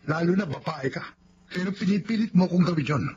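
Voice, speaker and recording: A man's voice: high-pitched, a little fast, highs cut off, crowd noise, lightly acted. An actor playing a firm, indignant young man devoted to his fiancée, in a Filipino radio drama style. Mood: angry, firm. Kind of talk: Tagalog radio drama acting.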